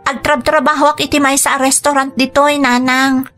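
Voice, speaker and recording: Dutiful young woman, radio drama, high bright voice, earnest, music under. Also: little pitch movement, moderate pace. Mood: grateful. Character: dutiful, forgiving young woman working abroad. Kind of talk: Ilocano radio drama acting.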